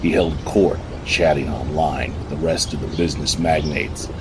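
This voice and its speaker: Very deep-voiced male noir narrator. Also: steady tone, moderate pace, background noise. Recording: music under the voice.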